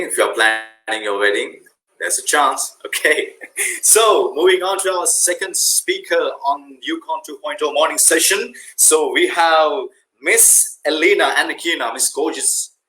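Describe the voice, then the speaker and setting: A man's voice: a little high, moderate pace, pushed and bright, poor audio. A young man hosting an online event as emcee, introducing speakers with a showy manner and filler uhs over a video call. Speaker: event host.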